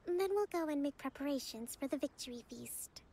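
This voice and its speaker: Young game heroine-leader, high, polite, gently wistful delivery. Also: female voice, animated, brisk. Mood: friendly. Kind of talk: video game character acting.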